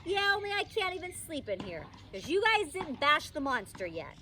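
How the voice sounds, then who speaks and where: Woman playing little girl, storybook heroine, high voice, excitable, insistent, very animated, slightly distant mic. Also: normal speed, full character voice. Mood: complaining. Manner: insistent, pleading, childish grammar. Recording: distant microphone, faint background noise.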